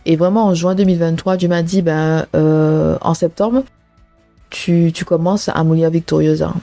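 Low, mellow woman, casual faith podcast. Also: fairly even intonation, moderate pace, faint music behind. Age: 30s. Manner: casual and personal, many "euh" and "hein" fillers, preaching tone. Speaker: podcast host. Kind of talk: faith podcast talk addressed to listeners.

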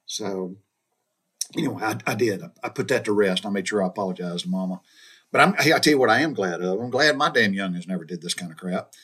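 A man's voice: on the low side, lively intonation, fast, fairly bright tone, breathy edge. A middle-aged man, hosting a podcast and telling childhood stories in a rambling, folksy way with chuckles and mild cursing. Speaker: podcast host. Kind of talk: casual storytelling monologue.

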